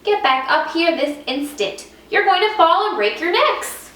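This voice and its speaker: A woman plays a bossy storybook mother bird, strict and protective. She scolds and commands in a raised, high voice, in an echoey room away from the mic. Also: lively intonation, a little slow, fairly bright tone, exaggerated voice. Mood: alarmed, scolding. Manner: exclaiming, commanding. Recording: echoey room, distant mic, faint noise.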